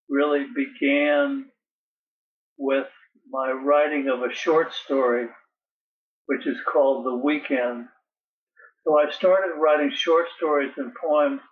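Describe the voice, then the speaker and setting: Older man halting on a tinny phone line. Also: mid-range voice, distant mic. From Connecticut. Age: 80s. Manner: halting, repeats words. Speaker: poet and guest. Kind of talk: interview.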